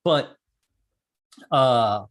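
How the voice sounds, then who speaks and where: A man from Puerto Rico with a mid-pitched voice talks as a guest in a casual interview. He tells things in colloquial language and voices his own thoughts as quotes. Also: drawn-out words. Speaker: interview guest, amateur radio operator and skydiver.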